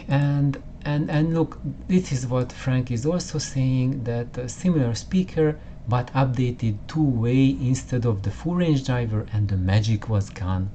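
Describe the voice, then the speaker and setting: Man, slow, mellow tone, halting talk with restarts, home listening room. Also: medium pitch, a little hiss or hum. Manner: halting, with many repeated words and restarts. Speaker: audio channel host. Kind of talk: casual explanatory talk to camera.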